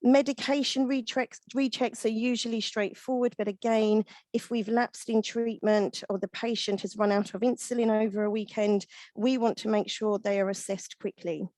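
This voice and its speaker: A woman in her thirties with a husky voice, presenting a webinar as a veterinary nurse. She explains steadily and works through lists, with small fillers along the way. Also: on the high side, steady tone, moderate pace, a little mellow, no echo.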